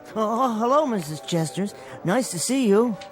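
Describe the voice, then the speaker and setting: A man's voice: high voice, expressive, moderate pace, music in the background. A man playing a meek, bookish, absent-minded bank teller in a radio drama, fussy, rambling and earnestly apologetic. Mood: distracted, enthusiastic.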